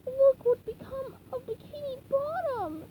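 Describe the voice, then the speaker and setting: A woman's voice: very high-pitched, animated, a little slow, mellow, a little hiss or hum, pitched above the performer's own voice, poor audio. A woman voicing a cheerful, earnest male sea sponge in a storybook reading. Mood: eager.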